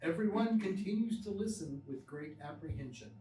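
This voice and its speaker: A man's voice: high-pitched, lively intonation, normal speed. A man reading scripted screenplay narration at a live stage table read. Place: small live theatre stage. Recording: distant, echoey live theatre recording with noise.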